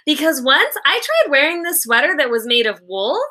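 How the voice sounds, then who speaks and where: A woman's voice: high-pitched, big pitch swings, normal speed, bright, forceful tone, heavily acted. A woman voicing a chatty, haughty fifth-grade girl from a children's book, gushing in run-on sentences. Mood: gossipy, gushing.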